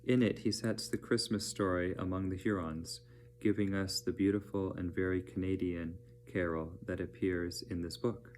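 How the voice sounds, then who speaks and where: A man reading educational narration aloud as a teacher, in a measured, plain-spoken manner. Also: deep voice, fairly even intonation, a little mellow, a little hiss or hum.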